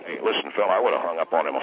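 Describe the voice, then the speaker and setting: Man doing gruff low patriotic caller guy, blustery, tinny phone, comedy radio call-in. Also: lively intonation, quick pace, slight background noise. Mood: respectful, fed up. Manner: blustery, emphatic, repetitive. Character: blustery, patriotic male talk-radio caller, plain-spoken and outraged. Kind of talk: comic radio call-in character. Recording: muffled tinny phone line.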